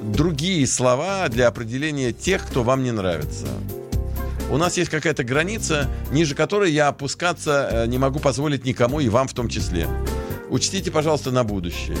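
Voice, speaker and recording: A man hosting a radio show, reading and answering listener messages in a deliberate, admonishing, haltingly phrased way. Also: mid-range voice, lively intonation, over music. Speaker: radio host. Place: radio studio.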